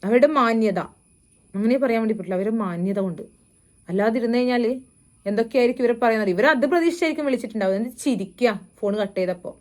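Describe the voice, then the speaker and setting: A woman's voice: fairly high pitch, fast. A woman giving disapproving, at times sarcastic opinion commentary as a reaction video host.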